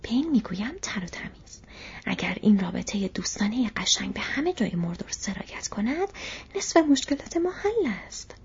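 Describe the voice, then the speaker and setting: A woman voicing a loyal, plain-spoken male hobbit servant in an audiobook, wry and curious in a hushed, breathy voice. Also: medium pitch, very animated, full character voice. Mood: wryly pleased. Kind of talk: audiobook character voice. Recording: dull, muffled lo-fi audio.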